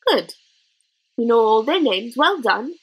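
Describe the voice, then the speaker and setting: A woman's voice: fairly high pitch, big pitch swings, unhurried, husky, exaggerated voice, low-quality recording. Woman voicing a nervous, fussy royal scholar. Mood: approving.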